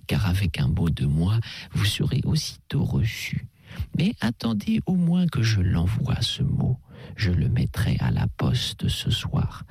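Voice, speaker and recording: A kindly older priest, put on by a male reader, who reassures in a breathy near-whisper, his voice lifted a little above the reader's own, with a gravelly edge. He speaks slowly and patiently. The recording is close and dry. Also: fairly low pitch, dry recording, exaggerated voice. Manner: soft, half-whispered reassurance. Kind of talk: audiobook character voice.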